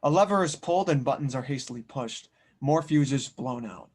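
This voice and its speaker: A man's voice: mid-range voice, expressive, moderate pace, a little mellow. A man reading scripted narration and stage directions as the narrator of an audio drama table read.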